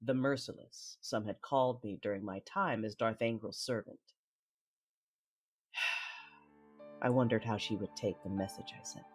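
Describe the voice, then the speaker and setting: Sith lady female actor: husky, imperious, guarded, calculating; audio drama villainess. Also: very deep voice, a little slow, pauses often, faint music behind, heavily acted. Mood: brooding. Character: cold, calculating Sith lady, estranged wife and sister. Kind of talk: audio drama acting with first-person narration.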